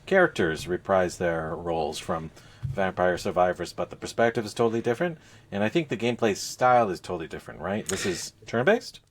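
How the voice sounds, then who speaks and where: Low-voiced man chatting about games. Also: lively intonation, brisk, slight background noise. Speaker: video game podcast co-host. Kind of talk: casual game discussion.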